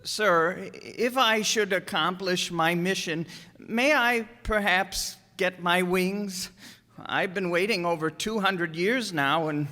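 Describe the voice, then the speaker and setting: Man playing a high, bright, eager old angel. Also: takes it slowly, heavily acted. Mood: eager, hopeful. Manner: fussy, deferential, repeats what he is told. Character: eager, timid two-hundred-year-old apprentice angel, male. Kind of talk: radio drama acting. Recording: echoey hall, long reverb.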